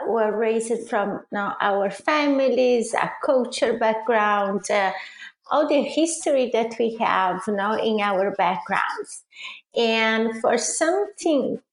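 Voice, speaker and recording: A middle-aged woman from Brazil with a Brazilian accent, answering interview questions haltingly as she searches for words. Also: a little high. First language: Portuguese. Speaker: podcast guest, leadership coach. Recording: roomy, echoing sound.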